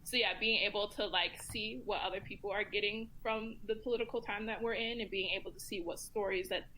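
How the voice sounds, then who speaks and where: A woman speaking as a guest commentator in a casual round-table discussion, relaxed and chatty with many ums and likes. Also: medium pitch, a little fast, a little hiss or hum, low-quality recording.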